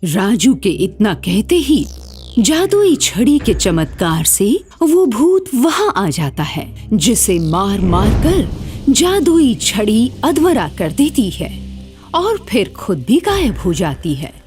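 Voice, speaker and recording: A woman's voice: mid-range voice, sing-song, takes it slowly, darkish tone, soft background music. A woman reading a scripted story as narrator.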